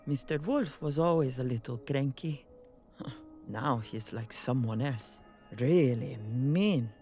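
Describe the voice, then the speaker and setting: A jittery, superstitious woman, acted by a woman, in an audio drama, talking slowly in halting, broken English. Faint music plays behind her. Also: very deep voice, dark tone, heavily acted. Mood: fretful. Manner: nervous, halting, broken English with Spanish words. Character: frightened superstitious woman, café worker. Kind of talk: audio drama table read.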